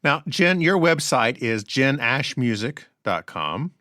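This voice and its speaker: A man hosts a radio interview, his pitch moving lively up and down. He speaks unhurried and courteous. The sound is dry and close. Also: dry recording. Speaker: radio show host.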